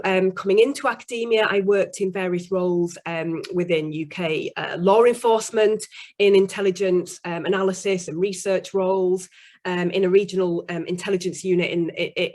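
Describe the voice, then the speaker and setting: A woman who is a university course director, introducing herself at an online webinar with a slightly croaky voice and frequent fillers. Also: on the low side, a little mellow.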